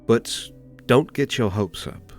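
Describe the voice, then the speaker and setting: A man playing a refined, polite, world-weary information dealer with theatrical, hesitant pauses in a fantasy audio roleplay. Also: mid-range voice, faint music behind, natural voice. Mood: apologetic, sympathetic.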